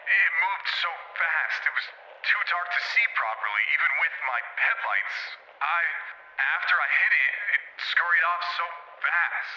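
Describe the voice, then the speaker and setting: An actor playing a frightened rural woman calling a radio show after hitting something on a dark road, halting and shaken. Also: on the high side, expressive, echoey room, highs cut off, exaggerated voice. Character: panicked rural woman caller after a night crash.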